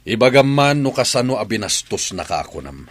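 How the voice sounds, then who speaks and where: A man's voice: mid-range voice, sing-song, brisk, dry recording. An actor playing a questioning, level-headed father and master of the house in a Filipino radio drama, with a Filipino accent. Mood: challenging.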